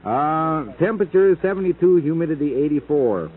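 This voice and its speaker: American radio host, tinny old broadcast. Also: male voice, a little high, expressive, a little fast, slight background noise. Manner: folksy, joking, rambling. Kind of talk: casual early-morning radio chat. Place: radio studio. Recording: tinny vintage 1950s AM radio aircheck.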